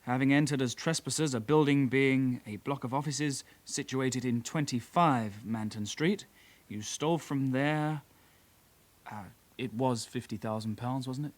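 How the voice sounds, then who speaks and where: An actor playing a young British detective constable in a radio drama, a calm sidekick with a measured, official way of talking. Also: male voice, medium pitch, lightly acted. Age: young adult.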